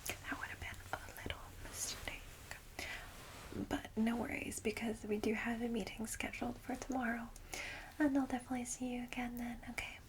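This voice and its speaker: A woman playing a friendly, caring vampire guide in a soft, breathy ASMR whisper, gentle and informal. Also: a little high, level tone, fairly quick, close to an everyday voice, rough recording. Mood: chatty, casual. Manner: gentle, hesitant, reassuring, informal.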